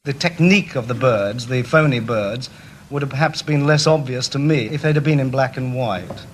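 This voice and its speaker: A man's voice: mid-range voice, moderate pace. A man acting as interviewer, putting questions in an archive interview. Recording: faintly noisy archive with audience.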